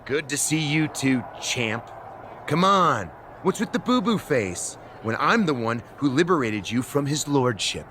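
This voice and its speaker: A man's voice: medium pitch, sing-song, unhurried, sharp tone, a little hiss or hum. A man playing a smug, theatrical wrestling general manager in a video game cutscene, with a pompous, sarcastic showman's delivery. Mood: smug, condescending.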